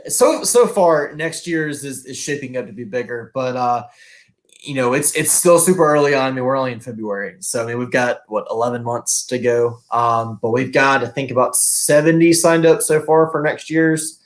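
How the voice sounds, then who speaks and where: A man's voice: medium pitch, moderate pace. A man hosting a casual author interview over a video call, upbeat and laughing often, with many fillers. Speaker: host.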